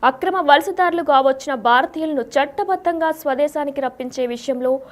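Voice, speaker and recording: A woman's voice: sits high, a little fast. A woman presenting scripted news in a formal, repetitive newsreader manner.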